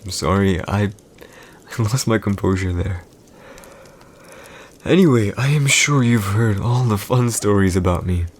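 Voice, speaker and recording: A man's voice: on the low side, expressive, moderate pace, hardly any pauses, slightly rough. A man playing an arrogant, teasing villain emperor in an intimate audio roleplay, speaking in smug, theatrical, formal phrases. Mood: composed, bitter. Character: arrogant villainous young male emperor, teasing and secretly wounded.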